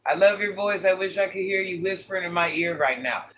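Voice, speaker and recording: An older woman with a very deep voice, hosting a casual livestream, reading viewer comments and playfully trash-talking. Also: brisk, a bit bright, a little hiss or hum. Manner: playful trash talk, sassy asides. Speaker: livestream host.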